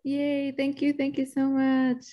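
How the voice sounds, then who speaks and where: Enthusiastic woman moderator, higher voice. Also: completely flat delivery, takes it slowly, dull audio. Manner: enthusiastic, giggly. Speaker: symposium moderator. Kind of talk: live event hosting.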